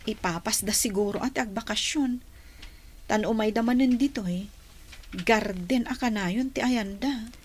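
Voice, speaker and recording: A woman's voice: on the high side, unhurried, a little hiss or hum, natural voice. A woman playing a tender young woman in love in an Ilocano radio drama, with an Ilocano accent. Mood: wistful. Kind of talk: radio comedy-drama acting.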